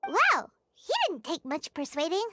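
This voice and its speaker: A woman voicing a small, chatty, cheeky, childlike fairy companion with a squeaky voice in a video game. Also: sing-song, measured pace, background noise. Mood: indignant, puzzled. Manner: refers to self in third person, exclaims. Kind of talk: video game cutscene acting. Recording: background music under voice.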